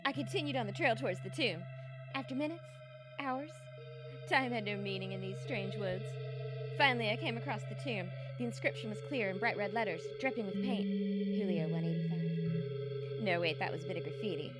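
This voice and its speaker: A woman playing an earnest, melodramatic wife who narrates a comic 1940s-style radio mystery with deadpan asides. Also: medium pitch, moderate pace, loud music behind the voice, natural voice, poor audio. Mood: suspenseful, deadpan. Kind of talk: 1940s radio acting with first-person narration.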